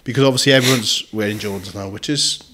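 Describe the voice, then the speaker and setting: A British man in his late thirties with a fairly low voice hosts a relaxed conversation. His talk is casual, laddish and full of swearing and banter. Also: fast talker. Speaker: podcast host. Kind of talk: casual chat.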